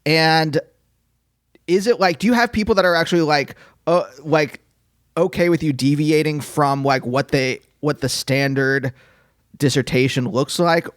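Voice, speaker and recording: A man's voice: a little high, unhurried, no echo, clean studio sound. A male podcast host asking hesitant, probing questions in a casual interview.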